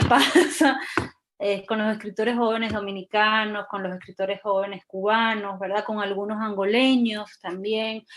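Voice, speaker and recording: A middle-aged woman from Venezuela, a novelist answering questions in an online panel discussion in a hesitant, reflective way with many restarts. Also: mid-range voice. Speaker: novelist and researcher.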